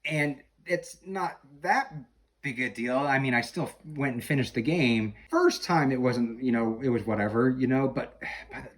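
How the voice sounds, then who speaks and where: A man with a mid-pitched voice speaks as a guest on a podcast. He reviews a game in casual conversation. His delivery is halting, with words repeated as he works through his explanations.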